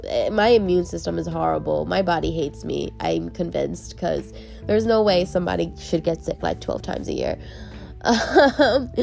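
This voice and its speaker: A woman's voice: medium pitch, vocal fry, a little mellow. Congested, nasal woman podcast host. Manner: bubbly and chatty, laughs often. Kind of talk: casual solo podcast chat.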